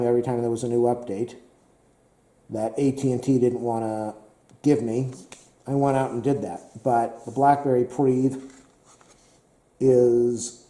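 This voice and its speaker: A man talks casually and rambles, repeating himself and filling pauses with "uh." His voice is very dark and mellow and a little hoarse. He sounds like he's in an echoey room. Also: medium pitch. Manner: rambling, repetitive, many fillers. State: slightly hoarse. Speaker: tech vlogger.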